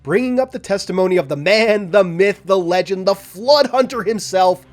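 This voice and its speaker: A man's voice: high voice, lively intonation, moderate pace, soft background music. A man hosting a lore analysis video, reading a scripted narration with enthusiasm and speaking directly to his viewers.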